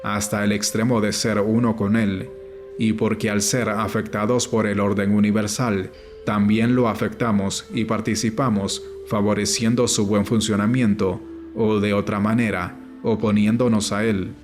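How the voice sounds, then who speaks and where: A man reads a nonfiction audiobook in a lowish voice with very little rise and fall. His delivery is formal and measured, and music plays behind him. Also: flat delivery. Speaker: audiobook narrator. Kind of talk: scripted audiobook narration of a nonfiction book.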